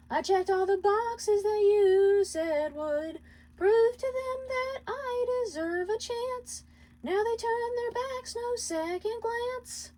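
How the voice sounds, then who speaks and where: A woman's voice: very high-pitched, warm tone, pitched above the performer's own voice. A woman voicing an aggrieved, pleading male imp husband in a dramatic story reading. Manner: exasperated, pleading, argumentative.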